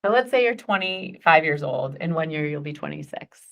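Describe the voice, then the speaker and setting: A woman's voice: low voice, expressive, a little fast, creaky, a bit bright. A woman in her forties leading a goal-setting workshop, chatty and upbeat, laughing often. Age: 40s. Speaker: workshop facilitator.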